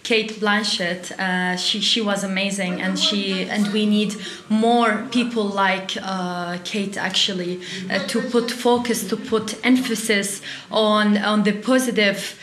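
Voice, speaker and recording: A woman in her twenties with a Middle Eastern accent, giving earnest, slightly halting answers as an interview guest. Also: mid-range voice, fairly bright tone. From Iraq, later Syria and Turkey. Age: 20s. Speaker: interview guest, refugee youth delegate. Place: small indoor interview set. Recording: roomy, echoey sound.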